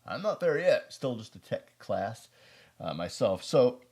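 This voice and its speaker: A man's voice: mid-range voice, sing-song, unhurried, darkish tone, breathy edge. An American man hosting a prepper video, talking casually through a product review in a rambling, folksy, self-deprecating way.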